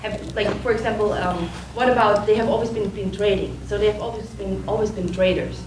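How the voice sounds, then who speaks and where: A woman in a lecture audience comments in a halting way, full of restarts and fillers. She is picked up from a distance in a reverberant room. A clear hiss of background noise runs under her voice. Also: mid-range voice. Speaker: audience member. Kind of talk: question-and-answer comment. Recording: echoey room, distant, noisy.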